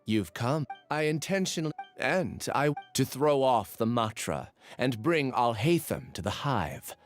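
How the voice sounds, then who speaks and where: Guarded, animated young researcher. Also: male voice, medium pitch, measured pace, faint music behind. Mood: confessing. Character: troubled misfit male researcher, guarded and earnest. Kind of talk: video game voice acting.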